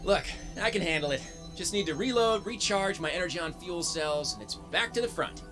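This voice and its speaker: An actor playing a plucky young robot soldier in a video game, with a casual, wry and dutiful manner. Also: male voice, high-pitched, lively intonation, normal speed, over music. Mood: determined. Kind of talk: scripted video game cutscene acting.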